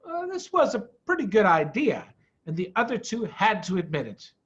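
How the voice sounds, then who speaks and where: A man's voice: sits high, wildly swooping pitch, normal speed, a bit bright, highs cut off. A man reading a children's story aloud in a theatrical, emphatic manner. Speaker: storybook reader, school head.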